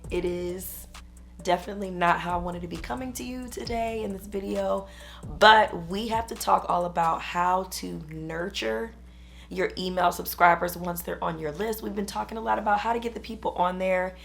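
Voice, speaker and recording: A hoarse woman business coach, chatting upbeat to camera. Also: medium pitch, moderate pace, faint music behind. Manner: chatty, upbeat, uses "y'all". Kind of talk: casual talk to camera.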